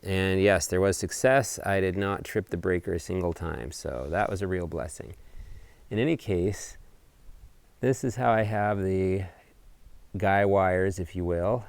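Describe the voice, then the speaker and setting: A man presenting a casual explanatory hobby video update outdoors, talking in deliberate, measured phrases. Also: sits low, creaky, darkish tone, clear, resonant tone, dry recording. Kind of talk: casual explanatory vlog talk. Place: outdoors on a house deck.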